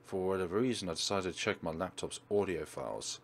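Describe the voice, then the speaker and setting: A man's voice: low voice, fast, a little hiss or hum. A man hosting a let's play, dramatically reading a visual novel aloud and commenting on it.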